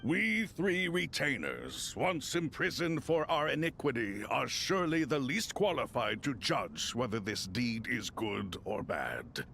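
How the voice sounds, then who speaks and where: A man voicing an ancient, solemn retainer spirit in a video game, speaking in measured, ceremonious phrases. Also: medium pitch, very animated, creaky, a bit bright, slight background noise, heavily acted.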